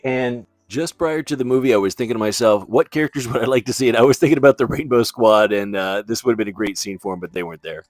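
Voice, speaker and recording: A man's voice: mid-range voice, fast, hardly any pauses, no echo, soft background music. A man hosting a vlog, talking in a casual, chatty way with slangy, laid-back fan enthusiasm. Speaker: YouTube host reviewing movies and toys.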